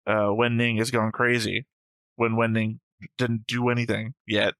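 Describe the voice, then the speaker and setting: A man's voice: medium pitch, level tone, measured pace, a bit bright, smooth, dry recording. A man co-hosting a reaction show, talking casually and analytically through an anime episode in an explanatory way.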